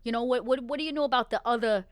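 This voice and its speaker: A woman's voice: on the high side, racing, no echo, studio quality. A woman playing a tabletop roleplaying game, improvising in character with emphatic explanations and pointed questions.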